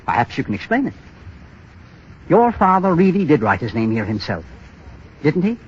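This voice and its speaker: A menacing, desperate moneylender in a 1930s radio drama. His voice sits fairly high and swings widely as he presses his point with cold insinuation. The broadcast sounds dull and old. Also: wildly swooping pitch, normal speed, slight background noise. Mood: cold, insinuating. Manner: insistent, pointed, coldly insinuating. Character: desperate, menacing bank clerk and blackmailing moneylender.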